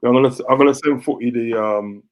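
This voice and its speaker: A man's voice: medium pitch, steady tone, fast. A man from Trinidad with a Trinidadian accent, co-hosting a football livestream and reading out the chat in a laid-back, slangy way. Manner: laid-back, slangy, checks in with "you get me". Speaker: co-host reading the live chat.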